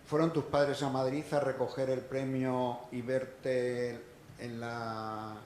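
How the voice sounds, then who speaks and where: A man asking the guest a personal question, halting and searching for words. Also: mid-range voice, flat delivery, darkish tone, a little hiss or hum. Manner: slow, hesitant questioning. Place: lecture hall. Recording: echoey hall, voice from far off.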